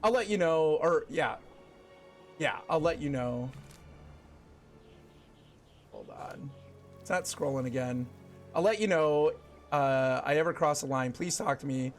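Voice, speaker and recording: A man's voice: fairly high pitch, lively intonation, soft background music. A man in his forties live-streaming a game, chatting casually with viewers and laughing often. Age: 40s. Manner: chatty, rambling, quick to laugh. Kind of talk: casual live-stream chat while gaming.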